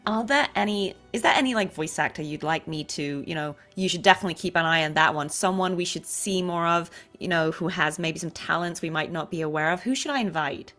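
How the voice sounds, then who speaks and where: A woman's voice: lowish voice, brisk, hardly any pauses, fairly bright tone, faint music behind. A woman hosting a casual interview in an informal, gushing manner. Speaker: interview show host.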